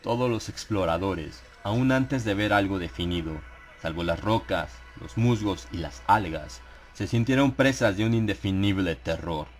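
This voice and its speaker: Low-voiced man narrating horror. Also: normal speed, faint music behind. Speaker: audiobook narrator. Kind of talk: dramatized horror audiobook narration. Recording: clear ambient sound effects behind the voice. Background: atmospheric horror sound effects.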